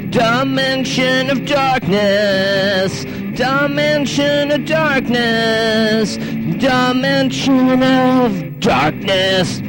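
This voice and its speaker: Man for horror title chant: very slow, high-pitched, over loud music. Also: rough recording.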